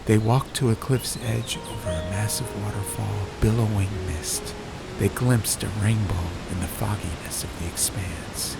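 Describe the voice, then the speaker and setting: A man narrating a scripted audiobook in a measured, dramatic storytelling manner. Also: fairly low pitch, gravelly, over loud music. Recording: ambient sound effects behind. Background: faint fantasy ambience.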